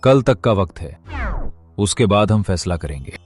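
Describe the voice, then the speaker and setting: Low-voiced menacing debt-collector henchman played by a man. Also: expressive, normal speed, darkish tone, breathy edge, faint music behind. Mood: threatening. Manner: threatening, demanding. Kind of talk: Hindi cartoon moral-story dialogue.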